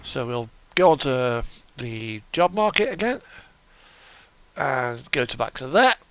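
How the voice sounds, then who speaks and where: A man's voice: medium pitch, sing-song, slow pace, fairly bright tone, smooth. A man giving casual live commentary as he streams a game, chatting and thinking aloud.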